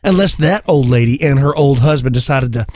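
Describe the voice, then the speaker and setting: A man's voice: medium pitch, fairly quick, darkish tone, dry recording, studio quality. A man co-hosting a podcast, chatting casually and telling stories in a conversational way. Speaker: podcast co-host. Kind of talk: casual chat, storytelling.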